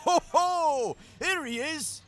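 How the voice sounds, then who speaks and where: A man's voice: extremely high pitch, slow, fairly bright tone, heavily acted. A man voicing a jolly, hearty old grandfather in a cartoonish audio drama style. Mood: hearty, delighted.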